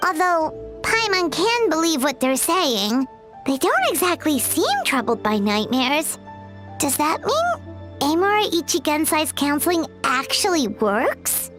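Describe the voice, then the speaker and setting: A woman's voice: very high-pitched, fairly bright tone, full character voice. A woman voicing a tiny floating female sprite companion who is chirpy and refers to herself in the third person. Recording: game audio with music underneath.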